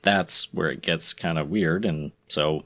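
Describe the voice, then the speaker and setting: A man co-hosting a podcast, explaining things informally and a little haltingly, as if thinking aloud. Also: very deep voice, fairly bright tone. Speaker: comics news podcast co-host. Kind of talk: casual explanatory chat.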